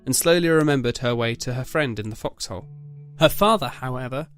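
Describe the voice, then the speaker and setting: A man narrating a scripted dramatic story. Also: mid-range voice.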